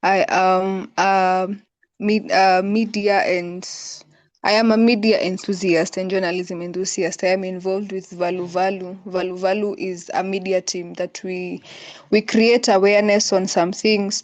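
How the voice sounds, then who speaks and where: A woman from Kenya introducing herself on a video call, in a steady, even delivery. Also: mid-range voice, a little slow, no echo. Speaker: webinar participant. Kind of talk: self-introduction.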